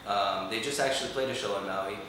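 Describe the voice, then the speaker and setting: A man's voice: on the low side, fast talker, sharp tone. A man born in Iran, a guest musician, chatting casually in an interview with a laid-back, rambling manner full of fillers. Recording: echoey room from a distance, background noise.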